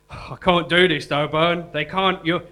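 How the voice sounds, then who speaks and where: A man's voice: fairly high pitch, steady tone, unhurried, sharp tone. A man acting a naive, jittery, unhinged prisoner in a live stage comedy, rambling and faltering plaintively, with some noise and hum behind him. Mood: wounded, pleading. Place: live performance venue. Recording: noticeable background noise and hum.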